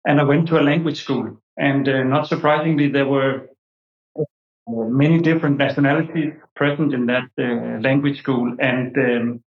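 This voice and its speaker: Guest: Danish-accented man, 40s, halting academic storyteller; roomy, muffled sound. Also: medium pitch, steady tone. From Denmark. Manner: hesitant, many fillers and restarts. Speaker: guest, anthropology professor. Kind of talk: interview answers, storytelling.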